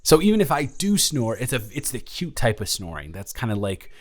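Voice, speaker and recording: A man's voice: medium pitch, sing-song, brisk. A young man playing an awkward, smitten, introverted college dormmate in a romantic audio roleplay, rambling and stammering in a flustered way. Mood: nervous, playful. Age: young adult. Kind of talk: intimate romantic audio roleplay, conversational acting.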